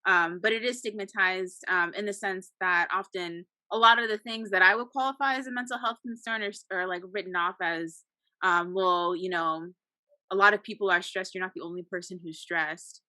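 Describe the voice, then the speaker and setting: A woman guest from the United States, a psychology doctoral student, speaking in an articulate, measured way with occasional fillers. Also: mid-range voice, pushed and bright, no echo. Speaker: guest, psychology PhD candidate. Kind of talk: casual panel conversation.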